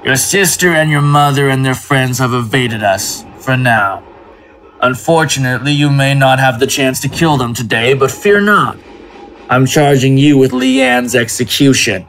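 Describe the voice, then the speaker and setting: A man's voice: mid-range voice, measured pace, fairly bright tone, lightly acted. Man acting a cold, ruthless military commander. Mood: grim, commanding. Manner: clipped, commanding, menacing. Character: cold, ruthless male commander passing sentence. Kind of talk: audio drama acting.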